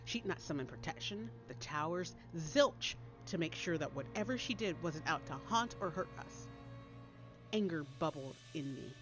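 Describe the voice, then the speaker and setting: American female storyteller, low and lively, dramatic audiobook read over prominent music. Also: moderate pace. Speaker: author reading her own short story. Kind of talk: expressive audiobook story narration. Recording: dull lo-fi audio under loud music.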